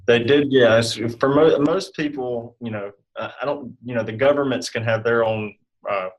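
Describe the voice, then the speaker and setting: A man giving informal explanations as an interview guest, in hesitant, halting phrases. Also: medium pitch, dull audio. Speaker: guest health insurance specialist.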